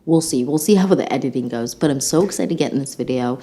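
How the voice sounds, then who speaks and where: A woman's voice: extremely low pitch, fast, dark tone, faint music behind. A slightly congested woman with a nasal voice, chatting casually and enthusiastically as a beauty vlog host reviewing products. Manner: gushing, enthusiastic.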